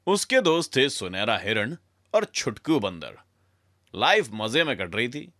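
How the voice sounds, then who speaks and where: A man tells a scripted children's story with dramatic flair. His voice is bright and forceful with wide swings in pitch, recorded dry and close. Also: big pitch swings, a little slow, dry recording.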